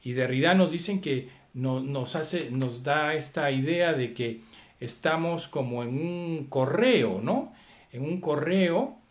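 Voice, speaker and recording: Slow, hesitant man lecturing on philosophy. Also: on the high side, expressive. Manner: hesitant, many fillers and rhetorical questions.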